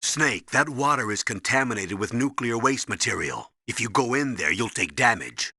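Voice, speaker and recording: Stern, hard-edged colonel. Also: male voice, medium pitch, lively intonation, moderate pace, bright, forceful tone, no room sound, studio quality, heavily acted. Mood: instructive. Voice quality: husky. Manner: commanding, urgent, instructive. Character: stern, urgent older male colonel giving mission orders. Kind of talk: video game voice acting.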